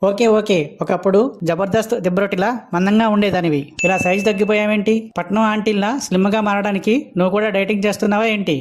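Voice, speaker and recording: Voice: mid-range voice, little pitch movement, quick pace, hardly any pauses, noisy background, close to an everyday voice. An actor voicing a cocky, chatty young rooster who hosts festival interviews in a comic Telugu cartoon, boastful and slangy. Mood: boastful, teasing.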